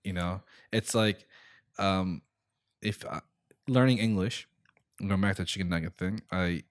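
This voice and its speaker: A young man hosts a relaxed podcast chat in a fairly low voice, with an American accent. He laughs easily and often leaves sentences unfinished. The recording is dry and close, with no room sound. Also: a little slow, no room sound. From Middle East. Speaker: podcast host. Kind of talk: casual chat.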